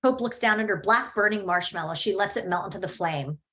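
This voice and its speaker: A woman reading a screenplay's stage directions aloud at a table read, steady and matter-of-fact. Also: mid-range voice, a little fast.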